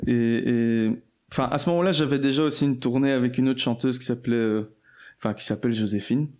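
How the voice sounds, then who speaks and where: A man's voice: mid-range voice, fairly even intonation, fast talker, darkish tone. A man, chatting casually as an interview guest, loose and slangy with lots of fillers and restarts. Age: 20s. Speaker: guest musician.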